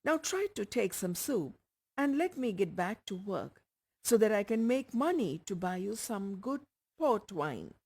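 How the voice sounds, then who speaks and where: A woman reading as Sue, animated caring young woman. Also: medium pitch, measured pace, breathy edge, full character voice. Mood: coaxing, scolding.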